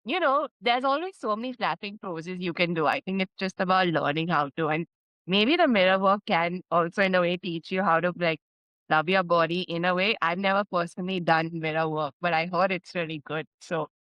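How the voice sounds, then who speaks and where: A woman's voice: on the low side, fairly quick, no room sound, muffled recording. A woman co-hosting a podcast, chatting casually and thinking aloud with fillers. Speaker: podcast co-host. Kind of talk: casual conversation.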